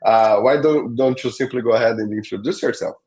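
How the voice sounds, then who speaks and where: A man's voice: medium pitch, a little fast, darkish tone. A man hosting a technical webinar, talking through slides with frequent fillers and "all right?" check-ins. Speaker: webinar host. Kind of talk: presenting a tech webinar.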